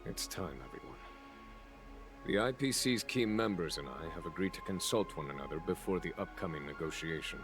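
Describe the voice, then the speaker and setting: A genial general with a very low voice. He speaks formally and diplomatically, in measured phrases, as in video game dialogue, with music clearly behind him. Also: expressive. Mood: courteous, composed. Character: composed, genial male army general, diplomatic and unhurried. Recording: music mixed under the voice.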